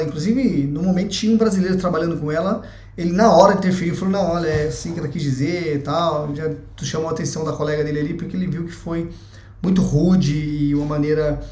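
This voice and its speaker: A man's voice: a little high, darkish tone. A young Brazilian man with a Brazilian Portuguese accent, giving his opinion in a blunt, chatty vlog and swearing freely. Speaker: vlogger. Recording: echoey room.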